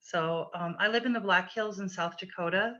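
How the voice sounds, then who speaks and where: A woman's voice: mid-range voice, normal speed, pushed and bright. A woman in her forties who works at a shelter, introducing herself on a video call in a friendly, lightly joking way. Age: 40s. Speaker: domestic violence shelter worker. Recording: dull lo-fi sound.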